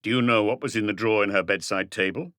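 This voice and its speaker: Man playing a terse, low-voiced police detective. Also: fast, a bit bright, no room sound, clean studio sound, lightly acted. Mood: insistent. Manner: terse, persistent questioning. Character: composed, probing male French police detective. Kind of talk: audiobook character dialogue.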